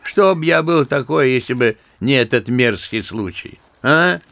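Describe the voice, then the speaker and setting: A man's voice: mid-range voice, animated, unhurried, creaky, fairly bright tone, no room sound. An actor playing an embittered, talkative old grandfather in an audio drama of a literary story, telling things theatrically and savouring his words. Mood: bitter, philosophical. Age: older.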